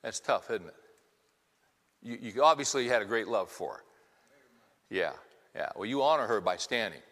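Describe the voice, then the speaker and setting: Bright-voiced man preaching in a big hall. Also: lowish voice, animated, moderate pace. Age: 50s. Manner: conversational, asks the crowd many rhetorical questions. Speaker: pastor. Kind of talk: sermon. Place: church hall with congregation. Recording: long reverb of a large hall.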